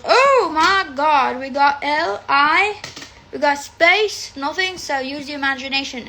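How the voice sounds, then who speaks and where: A chatty woman, native Arabic speaker, with a high voice full of gravelly fry and a bright, sharp edge. She rambles through a casual tarot livestream from her phone at home and swears freely. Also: slight background noise. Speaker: tarot reader.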